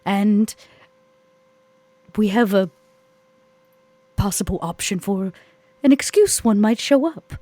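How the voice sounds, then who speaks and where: A woman playing a poised, calculating vampire lady in a role-play podcast, speaking formally and dryly with a husky tone. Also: medium pitch, dark tone, no room sound, lightly acted. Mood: cool, dry. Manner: formal, measured, dry. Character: poised, disdainful, high-heeled vampire woman, coolly calculating. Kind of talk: in-character tabletop role-play.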